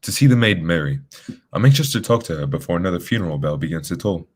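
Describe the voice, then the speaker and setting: A man's voice: low voice, lively intonation, fast talker, darkish tone, slight background noise. A man playing a shrewd, composed detective in a radio drama, speaking formally and matter-of-factly. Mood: composed, purposeful.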